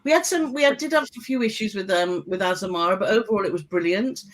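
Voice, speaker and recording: A woman's voice: mid-range voice, quick pace. A British woman, a cruise vlogger guest, chatting and often asking her husband for agreement. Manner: chatty, asks for agreement with tag questions. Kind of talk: casual live-stream chat.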